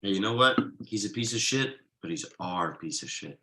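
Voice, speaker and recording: A man's voice: on the low side, animated, normal speed, sharp tone. Man reading as a dryly sarcastic husband, echoey room. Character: forbearing husband and father, mild, drily sarcastic. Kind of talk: conversational table read of a comedy script. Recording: roomy, echoey online video call.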